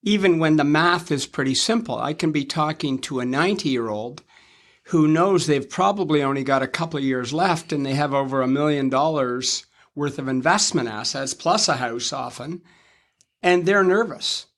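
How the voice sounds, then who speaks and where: Measured man in his fifties, higher pitch. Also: a bit bright. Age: 50s. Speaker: financial advisor co-host. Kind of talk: conversational interview.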